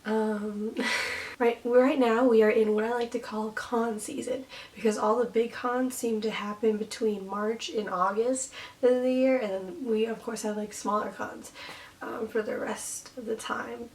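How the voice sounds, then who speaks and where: Hoarse young woman vlogging, chatty. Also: a little high, steady tone, measured pace, darkish tone, recorded from a distance, poor audio. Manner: chatty, giggly, rambling with fillers. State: hoarse, losing her voice. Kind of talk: casual chat.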